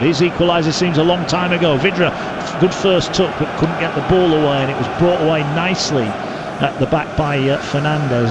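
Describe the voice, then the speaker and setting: A man calling live football on radio, brisk play-by-play that rises with the action. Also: on the high side. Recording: broadcast with loud stadium noise behind.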